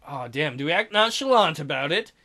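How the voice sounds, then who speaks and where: Young guy joking around over a visual novel, high bright voice, says uh a lot. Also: expressive, fairly quick. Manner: joking, swears, hesitant fillers. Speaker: let's play gamer. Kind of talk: casual game commentary.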